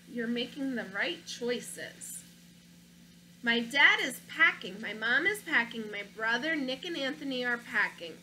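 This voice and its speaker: Bright, lively woman teacher reading aloud. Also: high-pitched, a little hiss or hum, low-quality recording. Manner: stops to ask young listeners questions; expressive storytelling delivery. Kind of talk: reading a picture book aloud to children.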